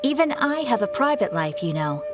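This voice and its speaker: An actress playing a polite, serene, slightly enigmatic receptionist in a video game, speaking with formal courtesy. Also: female voice, on the high side, big pitch swings, moderate pace. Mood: pleasant. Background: music.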